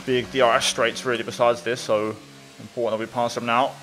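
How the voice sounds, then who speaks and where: A man's voice: medium pitch, flat delivery, fairly quick, a bit bright, rough recording. Man commentating gameplay over engine noise. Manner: thinks aloud while playing. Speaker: gaming video creator, player.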